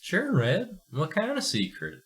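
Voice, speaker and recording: A man puts on a young boy sidekick, naive and easily swayed. His bright voice swings hugely in pitch, with hesitant, folksy phrasing suited to an amateur radio play. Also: wildly swooping pitch, measured pace, exaggerated voice. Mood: agreeable.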